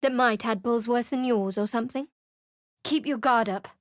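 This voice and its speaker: An actress voicing a guarded, sardonic young woman cleric of a dark goddess in a video game, speaking in a cool, dry and wary way. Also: on the high side, moderate pace, no room sound, lightly acted. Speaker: game companion character.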